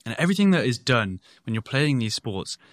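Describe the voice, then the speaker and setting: Man host, fast-paced, emphatic and explanatory. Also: mid-range voice, sing-song. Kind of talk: conversational interview.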